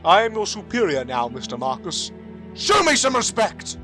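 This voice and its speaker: Man as a haughty colonel, high lively voice, audio drama. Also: normal speed, over music. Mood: imperious. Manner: imperious, demanding respect. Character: arrogant male military colonel, overbearing superior. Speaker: commanding officer.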